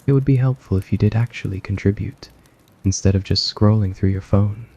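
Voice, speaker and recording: Guy doing annoyed awkward smart student, asmr roleplay, blunt and flat, husky mellow voice, lo-fi audio. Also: fairly low pitch, brisk, close to an everyday voice. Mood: annoyed, scathing.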